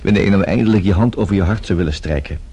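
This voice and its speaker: An actor playing a flirtatious, persistent investigator in a radio play, with a husky voice and a teasing, smooth-talking manner. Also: male voice, deep voice, rapid-fire, mellow. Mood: coaxing, flirtatious. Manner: teasing, smooth-talking, hesitant little interjections. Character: flirtatious, persistent male private investigator Bill Summers.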